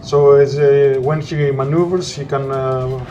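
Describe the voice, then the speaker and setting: Greek guy talking over his game, real slow, lots of uhs, bit of music underneath. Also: medium pitch, steady tone, mellow, dull audio. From Greece. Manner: halting, searching for words with frequent "uh". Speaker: gameplay commentator. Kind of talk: gameplay commentary.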